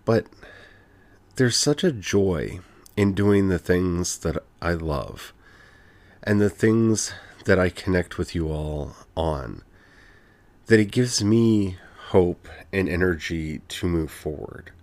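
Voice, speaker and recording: Very deep, earnest podcast host. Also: lively intonation, slow pace, darkish tone, dry recording. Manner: earnest and heartfelt, frequent "you know" fillers. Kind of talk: reflective solo podcast monologue.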